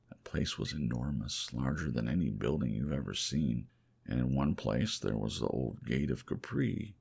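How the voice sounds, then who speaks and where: Very deep, creaky male storyteller. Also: darkish tone. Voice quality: gravelly, creaky. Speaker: audiobook reader. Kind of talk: hushed ASMR audiobook narration of classic fiction.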